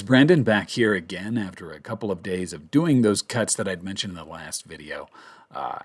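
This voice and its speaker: A man talking casually and informally as he walks through a voice-over tutorial. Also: on the low side. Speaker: voice actor giving a tutorial. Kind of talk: casual instructional chat.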